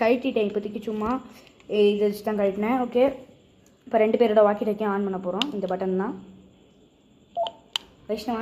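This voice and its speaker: A woman's voice: mid-range voice, mellow, slight background noise. A woman chattily explaining a product step by step to viewers in a casual vlog. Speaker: vlogger presenting a product unboxing.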